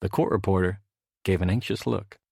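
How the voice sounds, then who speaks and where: Low-voiced man narrating an audiobook. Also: steady tone, moderate pace, gravelly, dark tone, slightly rough, no echo, clean studio sound. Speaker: author narrating own audiobook. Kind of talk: scripted audiobook narration, storytelling.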